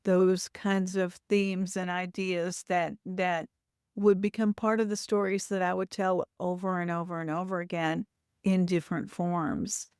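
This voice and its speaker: A woman in her sixties from Louisville in the United States, giving thoughtful, reflective interview answers and often pausing to rephrase. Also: on the low side, flat delivery, darkish tone, clear, resonant tone, no echo, clean studio sound. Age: 60s. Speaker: author, interview guest.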